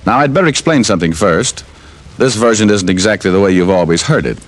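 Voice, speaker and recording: A man's voice: lowish voice, animated, fast talker. An actor playing a wisecracking male private detective, narrating a 1950s radio drama in a wry, easygoing way. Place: radio studio.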